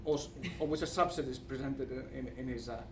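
A man with a Finnish accent gives an academic presentation, speaking haltingly and searching for words. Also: mid-range voice, fairly even intonation, vocal fry. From Finland. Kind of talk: conference presentation with slides. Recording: distant mic, faint background noise.

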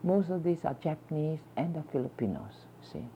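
Older local woman, low-pitched, recorded on dull lo-fi tape with faint hiss. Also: sing-song, normal speed. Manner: rambling, explains with tag "you see". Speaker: interviewee. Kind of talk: oral history conversation.

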